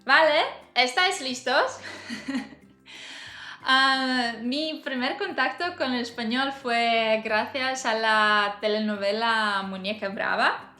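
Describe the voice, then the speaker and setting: Bright-voiced woman vlogger, roomy sound. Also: fairly high pitch, fairly even intonation, slow. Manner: chatty, laughs often, hesitant fillers. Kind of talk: casual talk to camera. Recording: roomy echo, faint music behind.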